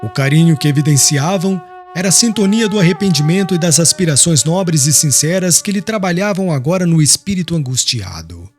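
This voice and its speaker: A man narrating a literary audiobook in a solemn, dramatic and expressive style. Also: fairly high pitch, a little fast, hardly any pauses, husky. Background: music with woodwind.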